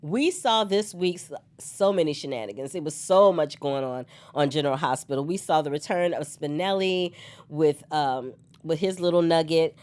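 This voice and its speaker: A woman hosting an online soap opera recap on her own, slightly hoarse from a cold, animated and chatty and laughing often. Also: sits low, normal speed. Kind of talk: solo casual recap chat.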